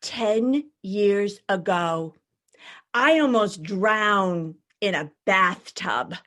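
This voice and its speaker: A woman's voice: medium pitch, expressive, a bit bright. A woman performing a monologue as a manic, anxious young woman who rambles nervously and apologises on a blind date. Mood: rambling, awkward. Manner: halting, nervous, apologetic, over-eager to impress. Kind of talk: theatrical monologue, comedy reading.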